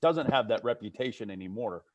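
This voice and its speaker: A man's voice: fairly low pitch, expressive, brisk, no room sound. A man who works as a baseball broadcaster, chatting casually as a guest on a video call. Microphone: video call microphone.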